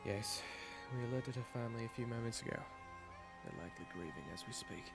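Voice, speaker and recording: A man playing a gravelly, solemn town guardsman. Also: mid-range voice, fast talker, music in the background, lightly acted. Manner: formal, terse, wary. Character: solemn, skeptical small-town guard official. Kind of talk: fantasy audio roleplay acting.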